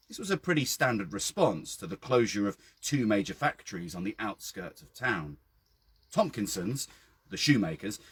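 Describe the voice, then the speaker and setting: A British man reads his own literary story aloud outdoors. His voice is fairly low and husky, and his pitch moves expressively through measured first-person narration. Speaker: author reading own story. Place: outdoors by a well.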